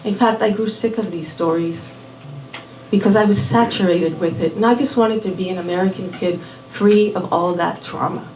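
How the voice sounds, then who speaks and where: A woman raised in Miami telling a personal story live at a small venue, unhurried and measured, dropping in Spanish words. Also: on the low side, darkish tone, a little hiss or hum. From born in Puerto Rico, raised in Miami. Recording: roomy venue audio from a distant mic.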